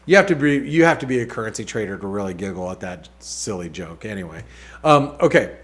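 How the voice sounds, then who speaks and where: A man's voice: on the low side, expressive, fairly quick, a bit bright. A man hosting a weekly market outlook, talking casually over charts with many fillers, restarts and wry asides. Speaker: market analyst host.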